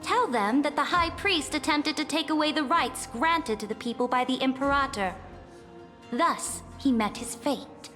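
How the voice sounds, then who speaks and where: Haughty high-voiced empress, slow and grand. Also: female voice, expressive, pushed and bright, exaggerated voice. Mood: imperious, menacing. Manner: grand, declamatory, formal. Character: imperious woman emperor and conquering ruler, haughty, commanding. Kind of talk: dramatic video game voice acting. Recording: long hall reverb, music behind.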